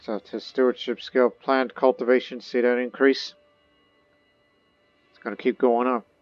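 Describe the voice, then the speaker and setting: A man's voice: mid-range voice, little pitch movement, a little slow, muffled recording, faint music behind. A man thinking aloud and reading game text in casual, rambling gameplay commentary. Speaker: gaming video host.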